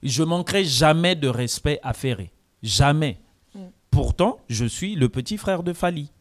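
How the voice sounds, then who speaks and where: A man's voice: on the high side, lively intonation, normal speed. Man answering at a press conference. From Congo. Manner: mixes French with Lingala, reflective.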